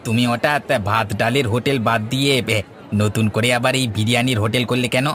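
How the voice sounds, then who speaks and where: A man's voice: mid-range voice, steady tone, fast talker, fairly bright tone, some chatter behind, slight background noise, natural voice. A man voicing a curious, chatty village customer in a cartoon. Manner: chatty, inquisitive. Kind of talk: Bengali cartoon voice acting. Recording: background music.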